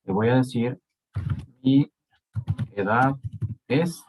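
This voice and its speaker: Male Ecuadorian lecturer, unhurried delivery, keeps checking in with the class, faint hiss behind. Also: mid-range voice, a little mellow. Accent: Ecuadorian Spanish. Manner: slow, checks in with frequent "¿sí?" and "¿de acuerdo?". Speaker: university lecturer. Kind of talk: live online coding lesson.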